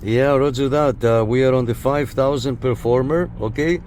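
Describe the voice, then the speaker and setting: A man hosts a casual hands-on radio demonstration from a car. His voice sits at a middle pitch, with short, repeated call-style phrases. Vehicle and outdoor noise runs behind him. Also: moderate pace, darkish tone. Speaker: host of a CB radio antenna test. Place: inside a parked car and outside beside it. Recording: noisy car and outdoor background.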